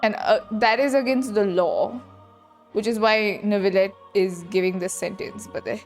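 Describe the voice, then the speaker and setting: A woman giving casual live commentary on a game playthrough, halting as she thinks aloud. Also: mid-range voice. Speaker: streamer reacting to a game. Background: game music.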